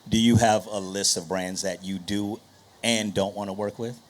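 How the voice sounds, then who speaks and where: A man's voice: fairly low pitch, steady tone, moderate pace, a little hiss or hum. A man moderating a panel discussion, putting questions to the guests and speaking as an industry executive.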